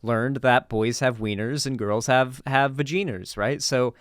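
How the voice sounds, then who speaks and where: A man's voice: mid-range voice, measured pace, no room sound, studio quality. A man giving casual, unscripted political commentary in a blunt, emphatic way with casual swearing.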